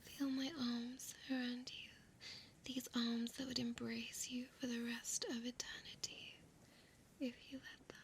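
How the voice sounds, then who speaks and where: A woman's voice: fairly high pitch, level tone, slight background noise. Woman playing a whispering, possessive female vampire. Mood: tender, coaxing. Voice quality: breathy whisper. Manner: slow, intimate, coaxing, formal old-fashioned phrasing. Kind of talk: ASMR audio roleplay.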